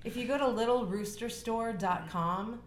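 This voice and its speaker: A woman's voice: fairly high pitch, moderate pace, echoey room, slight background noise. A woman hosting a casual chat, explaining things in a chatty way.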